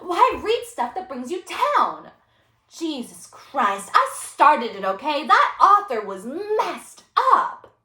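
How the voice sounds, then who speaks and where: Roomy recording, a woman acting a brash young female student, high swooping pitch, flippant, slangy, stage monologue. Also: big pitch swings, slow, pushed and bright, full character voice. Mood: defiant. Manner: sassy, flippant, dramatic. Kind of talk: solo dramatic interpretation performance.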